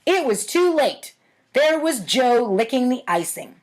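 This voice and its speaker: Slow-paced woman storyteller with a high voice. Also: very animated. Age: adult. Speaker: host of a storytime series reading own childhood writing. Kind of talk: storytime reading with casual asides.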